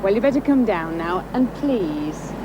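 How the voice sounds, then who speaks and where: An actress playing a brisk, patronising minder in a television drama, humouring and dismissive in a nannyish way. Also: female voice, mid-range voice, lively intonation, natural voice. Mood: brisk scolding. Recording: noisy outdoor film sound.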